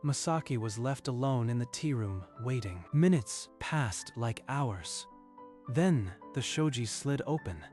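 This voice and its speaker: A man reading scripted story narration in a measured, literary storytelling manner. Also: mid-range voice, expressive, darkish tone, soft background music.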